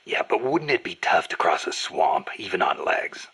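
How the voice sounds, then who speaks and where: A man playing a gruff, battle-hardened American soldier in a video game, skeptical, terse and blunt, with a raspy growl. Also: deep voice, big pitch swings, normal speed, sharp tone, full character voice. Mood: focused. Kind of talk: video game dialogue acting.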